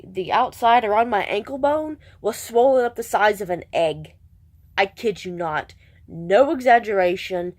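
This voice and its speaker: Stuffed-up woman rambling in a vlog. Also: fairly high pitch. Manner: rambling, self-deprecating, restarts sentences often. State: stuffed up, congested. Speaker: vlogger. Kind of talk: casual vlog chat.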